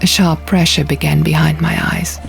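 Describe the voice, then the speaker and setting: A woman's voice: deep voice, steady tone, moderate pace, creaky, low-quality recording. A woman reading a dramatic first-person fantasy audiobook as narrator. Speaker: audiobook reader. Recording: music behind the voice.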